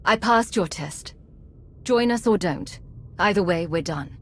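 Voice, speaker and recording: Low-voiced, cool commander. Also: female voice, lively intonation, unhurried. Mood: dry, resolute. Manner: terse, controlled. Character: cool, resolute female commander and secret agent.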